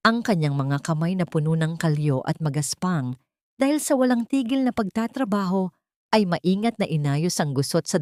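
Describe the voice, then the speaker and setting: Female narrator for dramatic audio fiction: low, warm voice, solemn measured delivery. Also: no room sound, clean studio sound. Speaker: story narrator.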